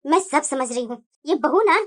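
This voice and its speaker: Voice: high-pitched, lively intonation, moderate pace, noisy background. A performer voicing a doting, scheming middle-aged mother-in-law in a dramatic family cartoon, drawing out the coddling and complaints. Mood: scolding, resentful. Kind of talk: Hindi family moral-story cartoon voice acting.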